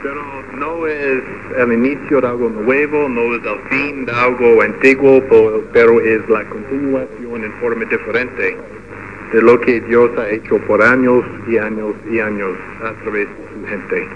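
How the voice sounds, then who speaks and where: A man's voice: mid-range voice, steady tone. Guy pastor talking in shaky second-language spanish, kinda muffled with noise behind. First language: English. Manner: halting Spanish with restarts. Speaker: radio pastor. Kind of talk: church ceremony speech.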